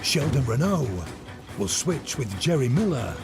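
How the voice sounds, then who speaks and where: A man's voice: medium pitch, very animated, measured pace, vocal fry. A man reading scripted, dramatic documentary narration. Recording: loud music under the voice.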